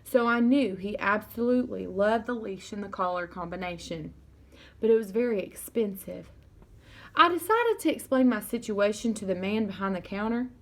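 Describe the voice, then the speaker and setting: A woman reading a children's novel aloud as narrator, in an expressive storytelling style. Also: medium pitch, normal speed.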